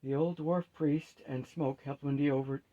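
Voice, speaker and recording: A man's voice: mid-range voice, fairly even intonation, normal speed, narrow, tinny audio. A man reading a fantasy story aloud as scripted narration.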